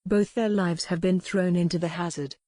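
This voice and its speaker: A woman narrating a dramatic romance audiobook in a measured, solemn storytelling manner. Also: lowish voice, steady tone, mellow, dry recording, clean studio sound.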